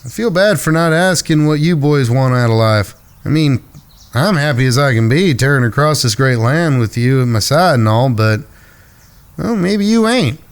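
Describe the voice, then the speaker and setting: A man's voice: mid-range voice, expressive, normal speed, smooth, lightly acted. A man playing an earnest, protective younger brother in a cowboy gang, plainspoken and folksy, in a western audio drama. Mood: pensive, worried.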